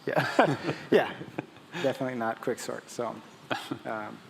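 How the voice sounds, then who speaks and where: A man's voice: medium pitch, a little slow, vocal fry. A man from Ethiopia speaking as a startup founder on a conference panel, conversational, often restarting his sentences mid-thought. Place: conference stage in a large hall. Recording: echoey hall with long reverb, audible noise.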